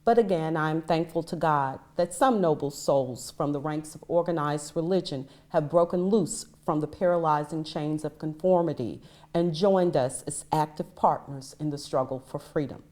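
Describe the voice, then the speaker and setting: A woman's voice: sits low, normal speed, darkish tone. A woman reading a historic letter aloud as one of a group of readers.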